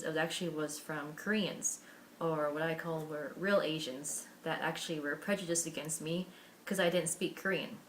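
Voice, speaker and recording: A young American woman with a low voice tells her own story to camera. She sounds earnest and candid, and she often restarts her sentences and fills pauses with "um" and "you know". A faint hiss runs under the recording. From Washington State, USA. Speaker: vlogger.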